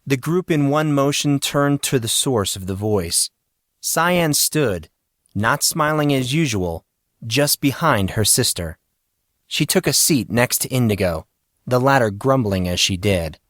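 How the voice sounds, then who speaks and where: Expressive male storyteller with lively pitch. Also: unhurried, no room sound, studio quality. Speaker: audio story narrator. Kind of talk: scripted fiction narration.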